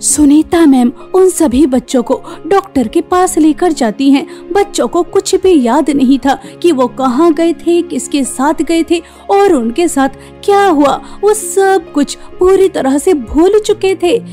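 Female horror narrator: extremely high yet mellow, unhurried scripted storytelling over quiet music.

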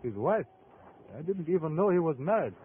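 An actor playing a brusque, wary nightclub owner in a 1950s radio crime drama, curt and guarded. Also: male voice, on the high side, very animated, normal speed. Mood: wary, evasive. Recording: muffled, noisy old radio broadcast.

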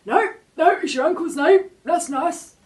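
A woman reading a storybook aloud, voicing a nervous, chatty male shark in a high, excited, rambling way. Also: unhurried, far from the mic. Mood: eager.

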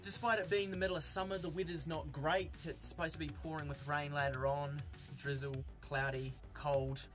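A man's voice: a little high, normal speed. A young man with a New Zealand accent, chatting casually while fishing from an outdoor wharf. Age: young adult. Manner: laid-back, chatty, excitable when hooking fish. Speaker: fishing vlogger. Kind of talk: casual vlog commentary. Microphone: camera-mounted mic, outdoors. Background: music.

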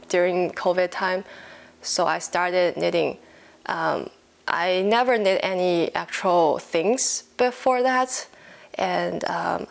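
A woman's voice: fairly low pitch, vocal fry. A woman in her twenties from China, with a Chinese accent, chatting casually and a little hesitantly to camera as a podcast host. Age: 20s. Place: living room at home, indoors.

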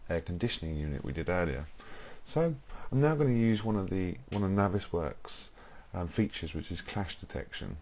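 A young British man presenting a software tutorial, explaining informally step by step in a husky voice. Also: low voice, animated, moderate pace, few pauses. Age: young adult.

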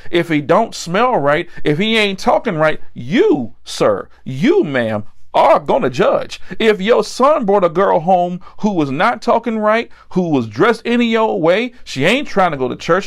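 A middle-aged American man delivering a sermon as a preacher, blunt and admonishing, driving points home with repetition. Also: high voice. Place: indoor set with backdrop, standing at a table.

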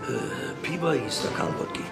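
An actor playing a laconic, hard-drinking police detective with a Berlin accent, speaking haltingly and colloquially in a TV crime drama. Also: male voice, medium pitch, sing-song, loud music behind the voice. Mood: tipsy, guarded. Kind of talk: naturalistic TV crime drama acting.

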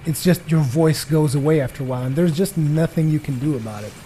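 A young man from Portugal, a native Portuguese speaker, giving jokey rambling let's play commentary on a headset as his voice grows tired and hoarse. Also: fairly high pitch, fast talker, warm tone, slight background noise. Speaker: gaming commentator.

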